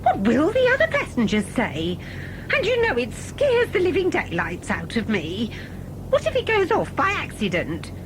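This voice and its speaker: A woman's voice: high-pitched, huge pitch swings, normal speed, noisy background. Woman acting prim, swooping, genteel older wife. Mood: fluttery, alarmed. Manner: prim, fussing. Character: Sybil, genteel, chiding, fluttery older wife. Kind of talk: comic audio drama acting.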